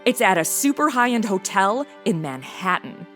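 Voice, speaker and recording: A woman's voice: medium pitch, very animated, normal speed, a bit bright, faint music behind. A woman playing a gushing, blunt, slangy modern young woman in a comedy audio drama. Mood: gushing, excited.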